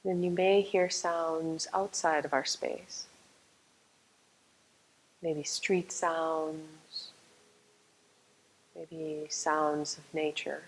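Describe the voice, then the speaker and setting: A woman leading a guided meditation as a yoga nidra teacher, speaking calmly and soothingly with long, unhurried pauses. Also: deep voice, a little hiss or hum, low-quality recording.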